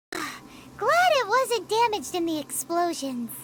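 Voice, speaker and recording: A tiny childlike sidekick in an anime game, squeaky and extremely high. The concerned little voice swoops around in pitch, with music clearly behind it. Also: female voice, sing-song, slow pace, exaggerated voice. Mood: relieved. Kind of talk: anime-style video game acting.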